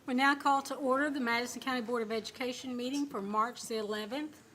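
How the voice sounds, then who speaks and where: A woman's voice: on the high side, steady tone, fast talker, fairly bright tone, a little hiss or hum. A woman chairing a school board meeting, calling it to order in a formal, procedural manner.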